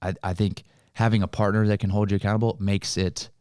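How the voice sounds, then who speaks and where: A man's voice: fairly low pitch, flat delivery, fast talker, darkish tone, clear, resonant tone, no echo, clean studio sound. A man hosting a casual motivational conversation, explaining things in an encouraging, coaching way. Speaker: podcast host.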